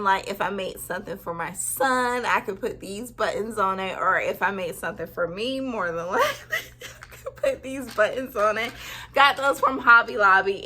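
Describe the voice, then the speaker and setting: A woman's voice: mid-range voice, pushed and bright, slight background noise. A woman in her thirties, a sewing vlogger, chatting casually and giggling often as she talks to camera. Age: 30s. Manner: giggly, bubbly, lots of "like". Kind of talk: casual chat.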